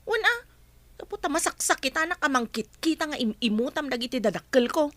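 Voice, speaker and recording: Exasperated wife, high-pitched voice. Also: female voice, sing-song, a little fast, fairly bright tone, no echo. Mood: indignant. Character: exasperated, principled wife standing up for her parents. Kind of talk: Ilocano radio drama acting.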